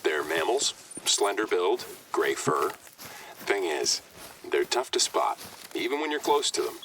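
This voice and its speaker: Deep-voiced, animated, chatty game warden. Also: male voice, a little slow, distant mic, a little hiss or hum, full character voice. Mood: friendly, informative. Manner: chatty, informal, explanatory. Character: friendly, chatty game warden, playful prankster and guide. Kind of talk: scripted video game dialogue.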